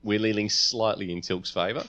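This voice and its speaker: Deep-voiced man, podcast co-host, casual chat, measured and deliberating, conciliatory. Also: steady tone, a bit bright. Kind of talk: casual debate about science fiction characters.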